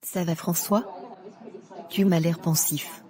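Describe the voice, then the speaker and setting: A woman playing a nosy, gossipy office colleague in an animated drama, confiding and familiar. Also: lowish voice, slow. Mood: concerned, knowing. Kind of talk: casual dialogue in an animated short. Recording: faint background noise.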